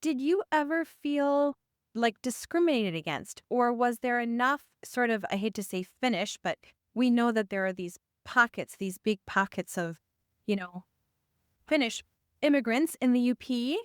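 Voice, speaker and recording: A woman's voice: a little high, expressive, a little slow, smooth, dry recording, clean studio sound. A woman hosting a podcast interview, curious and encouraging, asking follow-up questions. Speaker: podcast host.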